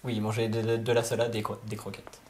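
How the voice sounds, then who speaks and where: A man's voice: fairly low pitch, completely flat delivery, fast talker, heavy background noise. A man of twenty-one, a camp counselor, answering interview questions casually and hesitantly, with lots of filler sounds.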